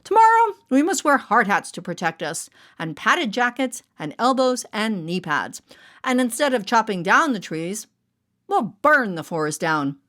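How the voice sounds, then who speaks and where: A woman's voice: a little high, sing-song, measured pace, a bit bright, pitched above the performer's own voice. A woman voicing a pompous, stern small-town mayor, a man given to declamatory speeches, in a storybook reading. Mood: emphatic, decisive.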